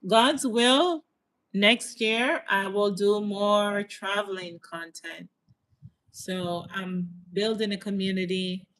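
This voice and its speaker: A woman's voice: mid-range voice, slow pace, fairly bright tone, poor audio. A woman with a slight Jamaican accent chatting casually on a livestream, reading out viewer comments and answering them. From United States. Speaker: host.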